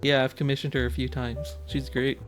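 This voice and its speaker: A man's voice: mid-range voice, little pitch movement, fairly quick, a bit bright, soft background music. A man from the United States hosting a casual podcast chat, laughing often and filling his talk with "like". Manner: laughs often, heavy use of "like". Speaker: podcast host.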